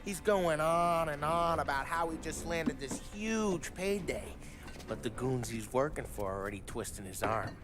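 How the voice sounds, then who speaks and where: A man's voice: fairly high pitch, expressive, moderate pace, over music, lightly acted. A man playing a brash, boastful gangster. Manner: boastful storyteller, wisecracking. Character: brash talkative male gangster. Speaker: mob crew member. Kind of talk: 1930s gangster game dialogue.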